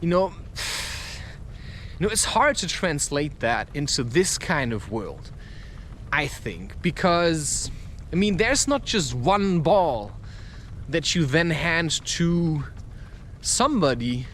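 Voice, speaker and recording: Male podcaster, high-register voice, off-the-cuff and musing delivery, recorded outdoors with faint vehicle noise. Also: lively intonation, takes it slowly, a bit bright. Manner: musing, loose, frequent rhetorical questions. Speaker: podcast host. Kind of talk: rambling spontaneous monologue.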